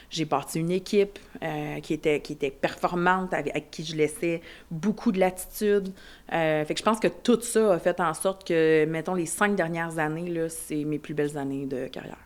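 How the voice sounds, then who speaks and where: A woman in her forties with a Québécois French accent, talking candidly as a podcast guest, with many fillers and restarts. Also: deep voice. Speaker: insurance advisor guest. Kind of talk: casual podcast conversation.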